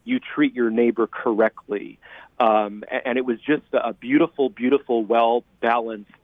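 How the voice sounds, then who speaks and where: Middle-aged American male priest, radio interview guest, earnest and emphatic, mid-pitched, thin telephone sound. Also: fairly even intonation, moderate pace, no room sound. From California, United States. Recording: narrow phone-line sound.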